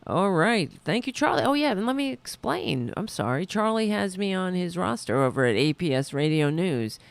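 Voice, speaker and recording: A woman hosting her own liberal talk show, chatting loosely and correcting herself as she goes, a little hoarse. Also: lowish voice, very animated, moderate pace, no echo. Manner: rambling, self-correcting, chatty. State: losing her voice. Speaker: podcast host. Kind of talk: casual political talk show.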